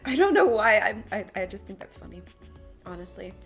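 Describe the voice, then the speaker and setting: A woman's voice: medium pitch, big pitch swings, normal speed, soft background music. Tired-voiced woman chatting through a build. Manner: chatty, rambling, self-deprecating. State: tired, slightly worn-out voice. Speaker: game build creator. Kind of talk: casual narrated build commentary. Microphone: desk microphone.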